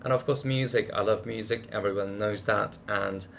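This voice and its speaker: A man's voice: on the low side, steady tone, moderate pace, gravelly, a bit bright, a little hiss or hum. A man chatting casually through a monthly recap vlog, hunting for words with many fillers and restarts. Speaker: vlogger.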